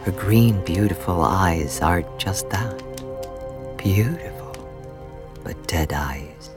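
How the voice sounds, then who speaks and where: A man reading scripted narration for a fantasy audio drama in a measured, deliberate manner. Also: deep voice, lively intonation. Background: music.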